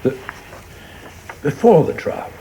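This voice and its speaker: An actor playing a principled, troubled government secretary in a radio play, speaking earnestly and haltingly. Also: male voice, dark tone, lightly acted. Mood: uneasy, resistant. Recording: clearly noisy background ambience.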